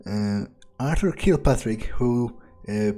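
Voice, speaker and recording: Game roleplay: man as sardonic, crude vampire musing to himself. Unhurried, soft-edged, clear delivery with hesitations. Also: mid-range voice, expressive. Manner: halting, musing, sardonic, swearing. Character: cynical, crude male vampire talking to himself. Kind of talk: improvised in-character video game playthrough.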